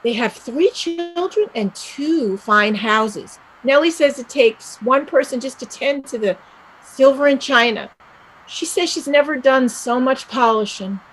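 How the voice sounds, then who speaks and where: Woman acting a husky, restless young Irish villager. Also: high-pitched, measured pace, rough recording. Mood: determined. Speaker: actor in a staged play reading. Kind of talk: dramatic stage play reading.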